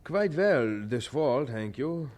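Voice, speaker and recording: An actor playing an earnest, devout pastor with a hesitant manner in a radio drama. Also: male voice, medium pitch, animated, darkish tone. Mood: polite, awkward. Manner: hesitant, halting in polite talk. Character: earnest, devout German-born male pastor, married.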